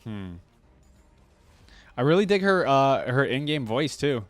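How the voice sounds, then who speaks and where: A man's voice: medium pitch, sing-song, measured pace, dry recording, soft background music. A man hosting a reaction video, commenting casually in an amused, slangy, informal way.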